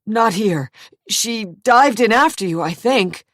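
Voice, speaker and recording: A woman's voice: mid-range voice, lively intonation, slow, fairly bright tone, no echo, clean studio sound, voice pushed up. A woman reading an audiobook, voicing a frightened man who is lying to the police. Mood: pleading.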